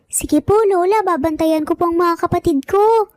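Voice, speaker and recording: Woman voicing very high-pitched earnest little boy. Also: moderate pace, a little mellow, no room sound, clean studio sound. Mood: cheerful, polite. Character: young triplet boys, eager and earnest little brothers. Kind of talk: dramatized story dialogue.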